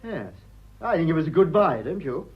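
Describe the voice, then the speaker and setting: Fussy, high-pitched upper-class Englishman. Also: sing-song, normal speed, breathy edge, muffled recording. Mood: flustered, fussy. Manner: prim, fussy, flustered, earnest. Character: stiff, fussy upper-class English son, anxiously proper. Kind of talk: stage comedy of manners radio acting.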